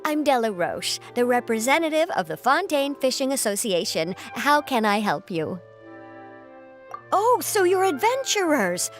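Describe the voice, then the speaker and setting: A woman playing an earnest, superstitious, excitable association representative in an anime-style video game, with a dramatic storytelling manner. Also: high voice, a little slow, soft background music. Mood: relieved, earnest.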